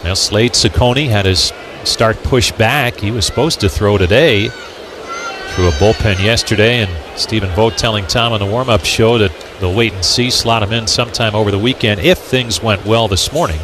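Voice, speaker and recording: A man's voice: lowish voice, moderate pace. Male baseball announcer over ballpark noise. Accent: American. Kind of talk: live radio sports commentary. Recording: clear background noise from outdoor ballpark.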